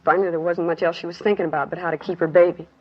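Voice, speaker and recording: A woman's voice: on the low side, steady tone, racing, natural voice. Actress for grieving working-class woman witness, 1950s radio drama, plain clipped delivery, muffled vintage sound. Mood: sorrowful. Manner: plain clipped sentences, colloquial grammar. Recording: dull 1950s radio recording.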